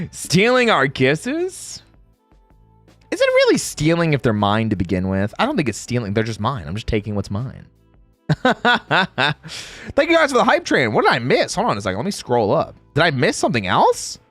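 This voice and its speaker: A man's voice: a little high, normal speed, dry recording, faint music behind. A man hosting a casual livestream, chatting playfully with viewers, teasing and breaking into exclamations. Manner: exclamatory, animated reactions. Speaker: streamer and host; game voice actor.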